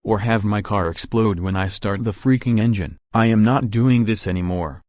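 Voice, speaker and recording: Man acting as male weather forecaster, low pitch, dry close sound, fed up, sarcastic, mock broadcast. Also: normal speed, a little mellow, no echo, natural voice. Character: exasperated male weather forecaster losing his composure in heat. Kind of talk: scripted weather broadcast parody.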